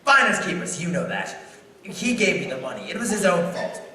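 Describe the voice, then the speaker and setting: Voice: medium pitch, sing-song, brisk, bright, forceful tone. An actor playing a greedy, spiteful old banker with a sneering, imperious manner in a stage radio play. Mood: gloating, angry. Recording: distant mic in echoey hall, faint noise.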